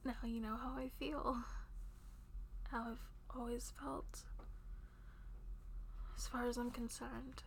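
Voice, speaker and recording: A woman's voice: fairly high pitch, level tone, slow, creaky, pauses often, slight background noise. A woman playing a devoted, tender lover in an intimate audio roleplay, speaking breathily and reassuringly and often dropping toward a whisper. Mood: tender, confessional. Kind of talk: intimate one-sided romantic audio roleplay.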